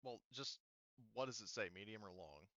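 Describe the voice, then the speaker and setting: A man running a tabletop game casually as game master, halting, often muttering to himself and swearing lightly. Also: fairly low pitch, expressive, bright, forceful tone, no room sound, highs cut off.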